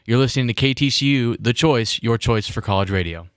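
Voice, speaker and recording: A man's voice: mid-range voice, a little fast, clean tone, dry recording, studio quality. A young man hosting college radio, chatting between songs and running a postgame show. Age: young adult. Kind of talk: radio DJ patter and postgame talk.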